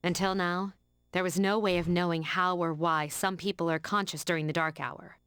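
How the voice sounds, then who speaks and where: Actress as commanding older schoolgirl for a game; lowish, dry voice, formal and exacting. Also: steady tone, a bit bright, clean tone, no echo, close to an everyday voice. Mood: resolute. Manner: formal, authoritative, measured. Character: stern, commanding female high school senior, student council leader.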